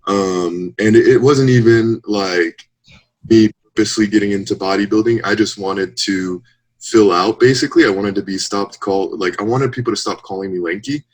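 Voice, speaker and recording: A man in his late twenties talks openly as an interview guest, thinking out loud and laughing lightly between points. His voice sits a little low. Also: moderate pace, rough recording. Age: 20s. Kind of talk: casual interview chat.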